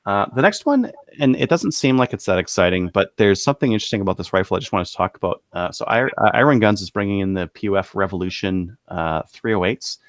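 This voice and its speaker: A man co-hosting a podcast, casually running through products in a halting, thinking-aloud way. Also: lowish voice, expressive, no echo, clean studio sound. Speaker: podcast co-host presenting gun deals.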